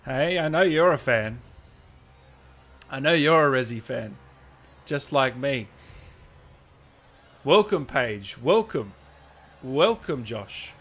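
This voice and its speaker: A man hosting a casual livestream, reading out viewer messages and thanking people with effusive, drawn-out, hyped shout-outs. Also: on the high side, breathy edge. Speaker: livestream host and channel owner. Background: music playing behind the voice.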